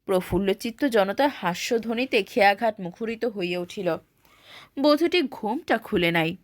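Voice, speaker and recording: A woman reading a classic short story aloud in a formal literary style, with expressive delivery on a close mic. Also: medium pitch, moderate pace, dry recording.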